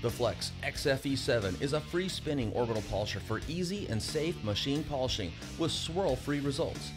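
Male ad announcer, scripted, varied intonation over clear guitar music. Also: medium pitch, expressive, moderate pace. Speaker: advert announcer. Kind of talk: scripted product commercial voice-over.

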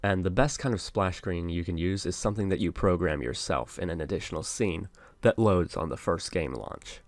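A man narrating a casual software tutorial, explaining things step by step as an instructor. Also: low-pitched, moderate pace, no room sound.

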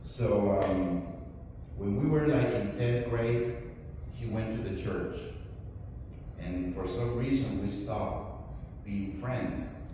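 A Salvadoran missionary in his forties with a Spanish accent, telling his testimony in a church hall on a handheld mic. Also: lowish voice. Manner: earnest, slow storytelling with light laughs. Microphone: handheld microphone picked up from afar. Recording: echoey hall, distant camera, noise.

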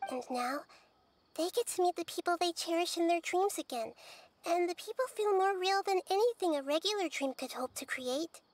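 High-pitched, thoughtful little girl deity. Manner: measured, thoughtful, articulate. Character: gentle, wise childlike girl deity. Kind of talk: video game dialogue.

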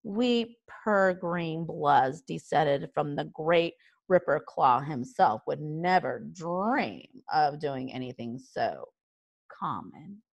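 A woman's voice: expressive, gravelly, heavily acted. A woman putting on a purring snob of a dragon. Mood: snooty. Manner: sweet, snobbish purr, slow and low. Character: haughty purring aristocratic dragon.

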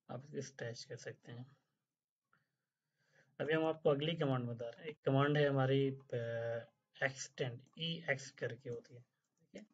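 Male instructor, husky mid-pitched voice, patient step-by-step tutorial delivery, slightly dull lo-fi voice-over. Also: darkish tone. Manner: explains step by step, often checks in with "theek hai?"; mixes English phrases into Hindi. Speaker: drafting instructor. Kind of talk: screen-recorded software tutorial. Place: voice-over over screen capture.

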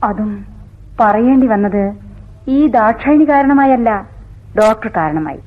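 A woman's voice: a little high, lively intonation, a little slow. An actress playing an anguished, accusing woman convict haunted by her killings, in a theatrical, declamatory radio drama style. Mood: intense, confiding. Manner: dramatic, declamatory, swinging from hushed to crying out. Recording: tinny, phone-like old radio recording.

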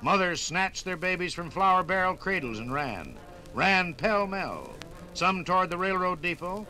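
An American man narrating a 1950s radio documentary in a dramatic, deliberate storytelling style. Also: high-pitched, big pitch swings, sharp tone, poor audio. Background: dramatic music.

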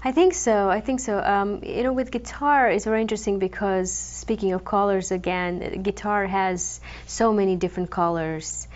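A woman's voice: medium pitch, moderate pace, hardly any pauses. A young woman from Croatia, a classical guitarist, answering interview questions thoughtfully with frequent ums and restarts. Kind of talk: interview conversation. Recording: dull lo-fi TV recording.

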